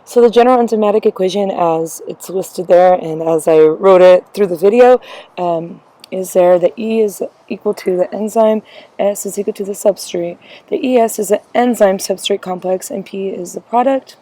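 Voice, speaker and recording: Young woman, hoarse, losing her voice, mellow tone, rambling student presentation full of ums. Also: mid-range voice, moderate pace, poor audio. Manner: frequent ums, loose and rambling delivery. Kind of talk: class presentation.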